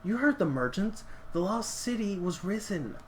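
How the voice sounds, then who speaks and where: A man voicing a young female warrior student who speaks earnestly and pleads with her teacher, his voice lifted higher than his own. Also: very animated, moderate pace, slight background noise. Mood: urgent pleading. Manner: pleading, raised pitch.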